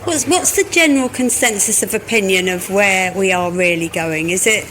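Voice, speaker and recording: A woman speaks hopefully, thinking out loud and circling back over her phrases. Her pitch is middling, and faint background noise runs behind her. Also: normal speed, rough recording. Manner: hopeful, repetitive, thinking aloud. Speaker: interviewer. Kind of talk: casual interview chat.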